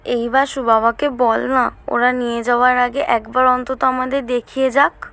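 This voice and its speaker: A woman playing a curious, imaginative older sister, a young girl, in an audio drama, excitable and chatty. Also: a little high, moderate pace, vocal fry, soft background music. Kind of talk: Bengali audio drama acting.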